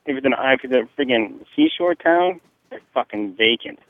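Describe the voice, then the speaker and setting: A man's voice: medium pitch, animated, moderate pace, over a noisy crowd. A man from New Jersey rambling through a casual, profane, slangy listener message with hesitant phrasing. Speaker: listener leaving a call-in message. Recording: muffled, narrow phone-like line, dry.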